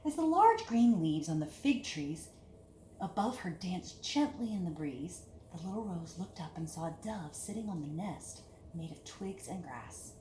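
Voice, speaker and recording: A woman reading a picture book aloud to children in an expressive, deliberate storytelling manner, with hushed dips and emphatic lifts. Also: lowish voice. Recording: echoey room with clear background hiss.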